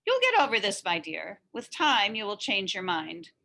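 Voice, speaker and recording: A woman's voice: medium pitch, wildly swooping pitch, normal speed, gravelly, pushed and bright, heavily acted. Woman playing a stern, dismissive male professor. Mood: condescending. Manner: firm, condescending.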